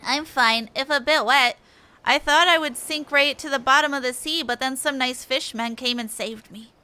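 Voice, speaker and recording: Earnest small boy acted by a woman, bright-toned, curious and innocent, fantasy game read. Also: high-pitched, normal speed, clear, resonant tone, pitched above the performer's own voice. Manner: innocent, chatty.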